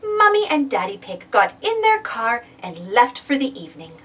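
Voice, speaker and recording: A woman reading a picture book aloud as narrator, expressively and deliberately, for young children. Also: a little high, wildly swooping pitch, fairly bright tone, husky, distant mic.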